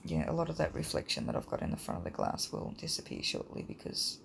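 A woman with a croaky voice from hay fever, teaching a drawing lesson in a relaxed, rambling way with many ums. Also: rumbling low, quick pace, a little hiss or hum. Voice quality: croaky, gravelly. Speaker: art teacher. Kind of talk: casual drawing tutorial voice-over.

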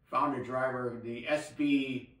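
An older man explains technical things in a casual, halting way, often repeating words. His pitch is average for a man. The sound is roomy and somewhat distant from the microphone. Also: steady tone, a bit bright. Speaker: co-host of an audio hobby show. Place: indoor room with table and blinds. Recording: roomy, echoey, distant mic.

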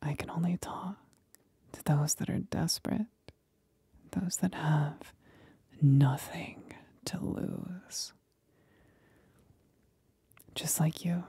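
Woman doing a taunting demon girl for asmr roleplay, super breathy whisper w some gravelly fry, slow and teasing. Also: on the low side, warm tone, no echo, exaggerated voice. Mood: cruel, taunting. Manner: slow, deliberate, teasing, condescending. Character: sly, condescending female demon companion, coolly taunting.

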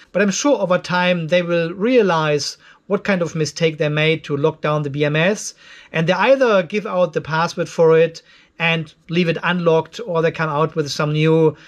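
High-pitched middle-aged man thinking aloud. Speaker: host of an off-grid solar video channel. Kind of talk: casual product test commentary. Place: cluttered garage workshop.

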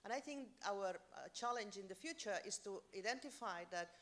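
A woman's voice: mid-range voice, lively intonation, moderate pace, a bit bright. Hesitant woman panelist in a hall. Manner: hesitant, restarting sentences. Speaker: panelist researcher. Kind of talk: panel discussion answers. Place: large conference hall stage. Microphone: handheld microphone.

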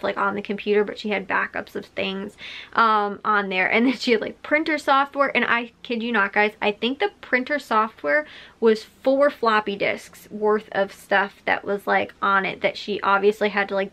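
A woman's voice: medium pitch, creaky, a bit bright. Young woman vlogger, rambling casually. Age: late 20s to early 30s. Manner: rambling, frequent filler "like", chuckles while talking. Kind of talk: casual rambling chat to camera. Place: small bedroom or craft room, indoors.

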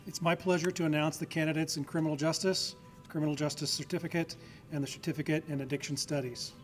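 A man formally announcing candidates at a commencement ceremony, brisk and formal. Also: fairly high pitch, level tone. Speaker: commencement name reader. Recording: with music behind.

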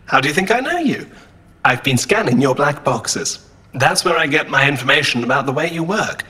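Pedantic, husky-edged robot strategist. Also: male voice, fairly high pitch, very animated, moderate pace, sharp tone, exaggerated voice. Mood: matter-of-fact. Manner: clipped, analytical, sarcastic. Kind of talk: science-fiction audio drama acting.

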